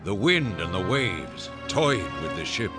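A solemn storyteller with a very low, richly inflected voice. He narrates a radio drama in a lyrical way over music. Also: big pitch swings, measured pace. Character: solemn storyteller of his captive Native brothers.

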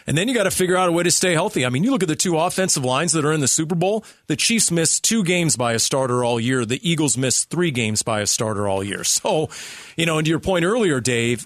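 A male sports radio host with a somewhat high voice. He talks conversationally, laying out points and putting questions to guests as he steers the show. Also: no echo, clean studio sound.

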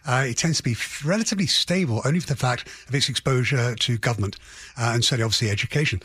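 A man speaking as an expert guest in a radio interview, analysing in a halting way with qualifiers and emphatic restatements. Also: medium pitch, fairly bright tone, husky, no room sound. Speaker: housing economist guest.

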